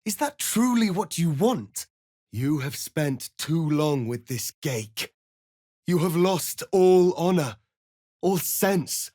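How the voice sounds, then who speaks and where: An actor playing a proud, righteous rebel warrior prince in a fantasy video game, speaking in a solemn, formal, declamatory way. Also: male voice, on the high side, lively intonation, takes it slowly, mellow, no room sound, clean studio sound. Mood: stern, commanding.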